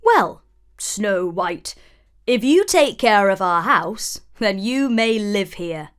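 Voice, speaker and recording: A woman voicing small male dwarf miners in a storybook, kindly, fussy and expressive. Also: medium pitch, slow, clear, resonant tone. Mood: reasonable, kindly. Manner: exclaiming, expressive.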